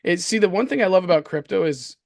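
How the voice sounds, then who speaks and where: Hoarse man, dry close sound, casual co-host commentary, slangy, repeats himself, excitable. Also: high-pitched, quick pace, no echo. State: hoarse from coughing. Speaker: crypto show co-host. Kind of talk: casual chat, market commentary.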